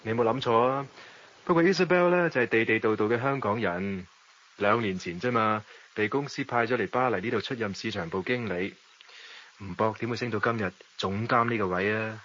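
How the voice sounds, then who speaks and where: An actor playing a suave, romantic bachelor, measured and indulgent, in a Hong Kong Cantonese radio drama. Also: male voice, on the low side, fairly bright tone, lo-fi sound, natural voice. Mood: easygoing. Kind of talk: radio drama dialogue and reflective narration.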